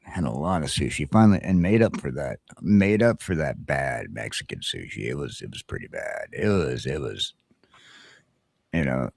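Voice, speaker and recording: A man's voice: extremely low pitch, lively intonation, moderate pace, gravelly, darkish tone, husky, no room sound, studio quality. A middle-aged American man telling stories in casual chat, with a blunt, folksy, sweary manner. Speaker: talk show host.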